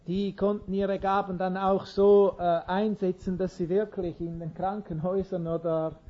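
A man's voice: high-pitched, flat delivery, normal speed, muffled recording. A man preaching an informal sermon in an earnest way, chuckling now and then and restarting sentences.

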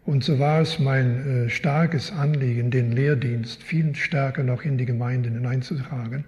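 An older man from Canada, a guest Bible teacher, answering interview questions in a slow, thoughtful, wry way. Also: mid-range voice, steady tone, a little mellow, lo-fi sound. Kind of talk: live interview in a church. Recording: long hall reverb.

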